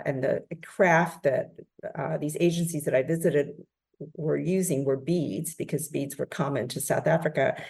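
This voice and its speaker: A middle-aged woman with a low voice and a gravelly edge, her first language Spanish. She talks earnestly and reflectively, with plenty of ums and uhs as she gathers her thoughts, like a guest on a podcast. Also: darkish tone. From United States. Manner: earnest, reflective storytelling with fillers. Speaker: nonprofit founder. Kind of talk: podcast interview answer.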